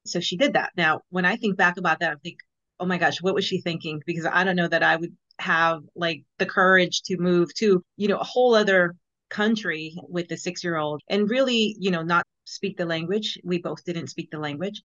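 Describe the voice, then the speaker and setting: Lower-pitched woman in her fifties, slightly muffled. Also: moderate pace, a bit bright. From born in El Salvador, grew up in Los Angeles. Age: 50s. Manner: conversational, peppered with "you know". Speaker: podcast guest. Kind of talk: casual personal storytelling.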